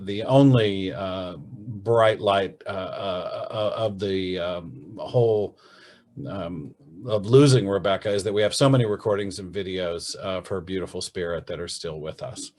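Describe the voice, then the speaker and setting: A man hosting a virtual interview, speaking casually and haltingly with many "uh" and "um" fillers and restarts over a video call. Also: sits low. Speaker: radio host and interviewer.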